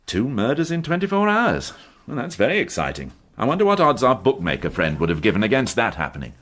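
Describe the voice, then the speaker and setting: A man's voice: medium pitch, wildly swooping pitch, fast, a bit bright. An actor playing a wry, impatient male police inspector in a radio drama, dry and sardonic with his juniors. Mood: wry relish.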